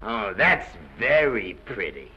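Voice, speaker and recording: Bright, brash old-Hollywood press agent. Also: male voice, lowish voice, big pitch swings, takes it slowly, husky, heavily acted. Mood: dry, mocking. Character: brash, cynical fast-talking studio press agent. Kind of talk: 1930s Hollywood film acting. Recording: dull old film soundtrack, faint hiss.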